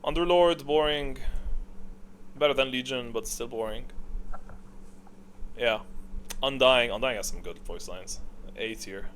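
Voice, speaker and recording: A man's voice: mid-range voice, measured pace, pauses often, fairly bright tone, a little hiss or hum. A man giving casual, off-the-cuff gaming commentary, thinking aloud in a rambling, blunt way.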